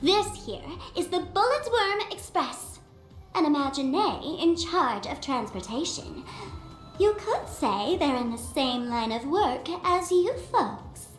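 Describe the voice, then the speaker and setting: Game role: brash young female guide, high and swooping, theatrical, slightly roomy over music. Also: big pitch swings, slow pace, exaggerated voice. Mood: storytelling, showy. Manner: teasing, theatrical. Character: brash, playful young woman acting as tour guide. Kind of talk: animated video game character dialogue.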